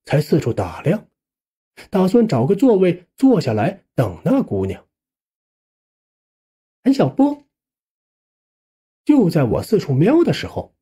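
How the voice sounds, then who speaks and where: A man's voice: a little high, dark tone, husky. A man narrating a first-person suspense audiobook in a colloquial storytelling manner.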